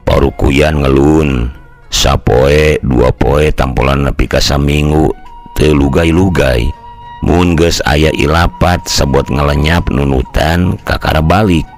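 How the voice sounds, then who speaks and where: Very deep, gravelly Sundanese storyteller man. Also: fairly even intonation, unhurried, faint music behind. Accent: Sundanese-speaking West Java. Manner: colloquial, conversational. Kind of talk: traditional folk tale narration with acted dialogue.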